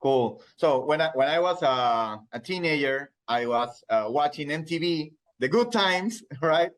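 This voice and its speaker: A man's voice: a little high, lively intonation, a little slow. A man in his thirties with a Peruvian Spanish accent, interviewing casually and hesitantly as he searches for words in his second language. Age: 30s. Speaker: radio interviewer.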